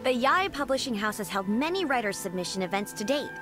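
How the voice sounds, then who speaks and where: Eager, quick-talking game townsperson. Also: female voice, fairly high pitch, very animated. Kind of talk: scripted video game dialogue. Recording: music behind the voice.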